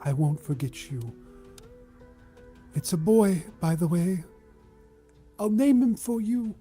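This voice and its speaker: A man working as game master, narrating a tabletop game in a measured, descriptive way with halts mid-phrase. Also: high-pitched, expressive, warm tone, faint music behind.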